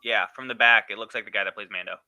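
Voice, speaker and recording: A man co-hosting a podcast in casual chat, speaking in a halting way with sentences that trail off. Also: fairly low pitch, fairly even intonation, bright, forceful tone, low-quality recording. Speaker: podcast co-host.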